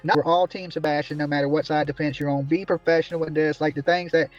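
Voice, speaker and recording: A man's voice: fairly high pitch, fairly even intonation, quick pace, dull audio, faint music behind. A man ranting indignantly on a livestream from a small room, repeatedly asking "right?" as he complains. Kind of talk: heated livestream commentary.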